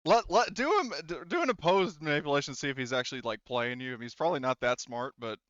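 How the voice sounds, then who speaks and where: A man's voice: mid-range voice, quick pace, a bit bright, no echo, lo-fi sound. A man running a tabletop roleplaying game, narrating casually in hesitant, piecemeal phrases. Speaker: game master.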